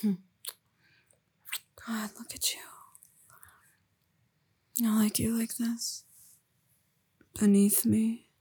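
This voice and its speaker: A woman speaking in a soft, breathy whisper for an intimate audio roleplay, murmuring slowly and coaxingly close to the listener. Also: little pitch movement, no room sound. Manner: low murmured coaxing with sighs.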